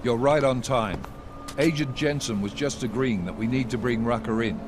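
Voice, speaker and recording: An actor playing a stern, controlled intelligence chief in a video game, clipped and authoritative. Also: male voice, mid-range voice, normal speed, noisy background, natural voice. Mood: stern, businesslike. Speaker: agency director briefing agents. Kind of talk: video game cutscene acting.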